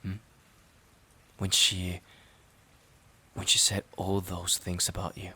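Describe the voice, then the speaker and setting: Man acting a low, husky fed-up boyfriend. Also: completely flat delivery, bright, forceful tone, exaggerated voice. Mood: bitter. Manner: halting, sighing, repeats himself. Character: fed-up, resentful boyfriend worn out from overwork. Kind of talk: emotional audio roleplay, intimate dramatic monologue.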